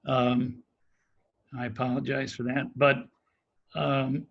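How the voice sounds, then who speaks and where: A man's voice: mid-range voice, level tone, vocal fry, highs cut off. A former district governor who grew up in Mexico with Spanish as his first language, answering on a video call with many fillers and a cracking voice. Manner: halting, many fillers and restarts.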